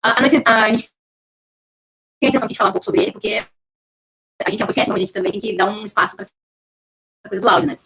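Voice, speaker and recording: A woman's voice: medium pitch, rapid-fire, pauses often, bright, forceful tone, poor audio. A woman hosting a casual interview, putting informal, loosely built questions to guests. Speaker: podcast host interviewing guests.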